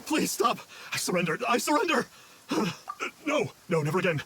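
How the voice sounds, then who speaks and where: A man's voice: high voice, very animated, moderate pace, background noise. A man playing a pompous fraud who poses as an immortal in a video game, speaking in grandiose, theatrical, archaic phrases. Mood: panicked, pleading. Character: pompous fraud male folklore fan posing as an immortal.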